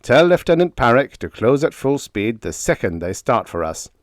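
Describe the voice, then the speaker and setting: A man voicing a gruff, bold starship commander who barks crisp military orders in an audiobook story. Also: medium pitch, very animated, moderate pace, no echo, clean studio sound, voice pushed up, close to an everyday voice. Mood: brisk, commanding. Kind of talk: dramatised audiobook character dialogue.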